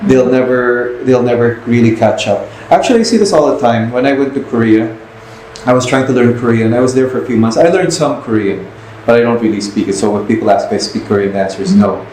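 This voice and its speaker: A man's voice: medium pitch, fast talker, darkish tone. A man telling anecdotes as a podcast host in casual, rambling talk, often restarting his phrases. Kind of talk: casual interview chat with anecdotes. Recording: echoey room with faint noise.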